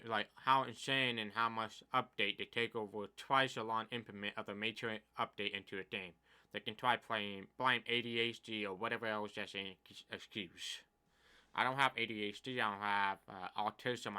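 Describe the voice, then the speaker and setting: Brief: deaf man, mid-twenties, bright sharp tone, casual gripe vlog, rambling, uneven volume. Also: lowish voice, clean tone. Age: 20s. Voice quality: deaf speaker's speech. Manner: complaining, rambling, uneven loudness. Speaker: gaming YouTuber.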